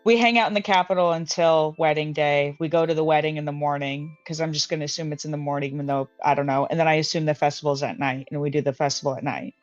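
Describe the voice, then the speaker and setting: A woman's voice: sits low, fairly even intonation, fast talker, few pauses, no room sound. A woman playing in a tabletop game, chatting casually and thinking aloud with many restarts and fillers. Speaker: player. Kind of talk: casual tabletop planning chat. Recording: dull lo-fi mic with faint music.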